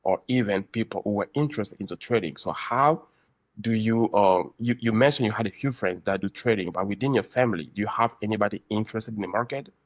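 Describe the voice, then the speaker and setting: Guy hosting a trading podcast, lowish voice, halting and deliberate, casual interview chat. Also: no echo. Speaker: podcast host, trader.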